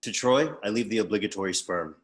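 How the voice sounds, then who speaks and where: A man playing a pompous, deadpan executor who reads out bequests in a comedy script table read. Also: fairly low pitch, fast talker, close to an everyday voice. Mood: formal, solemn. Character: pompous male executor reading out an eccentric bequest.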